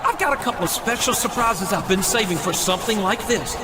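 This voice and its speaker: Very high-pitched, excitable male wrestling manager. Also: fairly quick. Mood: scheming. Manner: animated, officious, scolding. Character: exasperated, scheming wrestling manager asserting his authority. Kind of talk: dramatic wrestling promo. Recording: noisy arena with loud crowd.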